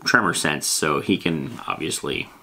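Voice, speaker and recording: A man's voice: rumbling low, sing-song, unhurried, vocal fry, pushed and bright, slightly rough. A man hosting a casual, rambling explainer on tabletop game monsters, reading out rules and tossing in informal, emphatic asides.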